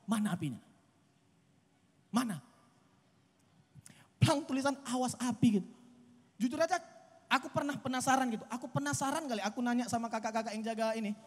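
Young guy from doing stand-up, lively and chatty, handheld mic, voice ringing out with reverb. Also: very high voice. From Medan, Indonesia. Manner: chatty, animated storytelling with acted quotes. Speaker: stand-up comedian. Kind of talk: stand-up comedy set. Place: outdoor stage at a night event.

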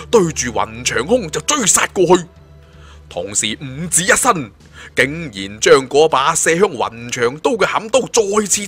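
A man's voice: mid-range voice, a bit bright. A man with a Hong Kong Cantonese accent narrating a fantasy story in a dramatic, theatrical and emphatic way. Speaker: audiobook storyteller.

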